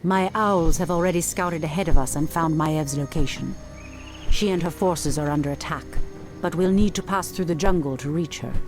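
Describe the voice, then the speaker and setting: A woman playing a proud, resolute elven high priestess who commands troops, formal and assertive, in a video game. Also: moderate pace, music in the background. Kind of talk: dramatic video game cutscene acting.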